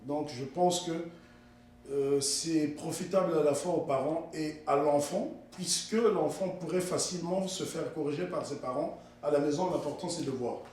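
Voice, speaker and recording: A man from the Republic of the Congo, a guest giving interview answers, talking in a measured, corrective way that gains force when he argues. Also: fairly high pitch, a little mellow. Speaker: guest, historiographer and writer. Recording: echoey room, mic far off, faint noise.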